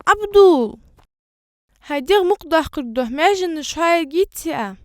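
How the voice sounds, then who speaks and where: A woman voicing a young, chatty child in a dubbed animated family cartoon. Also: sits high, moderate pace, no echo, clean studio sound. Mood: calling, concerned. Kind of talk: cartoon dubbing.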